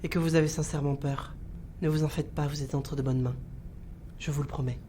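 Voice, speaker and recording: An actress playing a police investigator in an audio drama, speaking naturally and directly in conversation. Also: female voice, sits low, steady tone, a little fast, mellow, a little hiss or hum, lightly acted. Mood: gentle reassurance. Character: reassuring, weary female police investigator.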